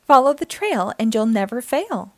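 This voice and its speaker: High female voice, very lively intonation, children's read-aloud narration, encouraging storytime host. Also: moderate pace, dry recording, clean studio sound. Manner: animated, encouraging, addressing young listeners directly.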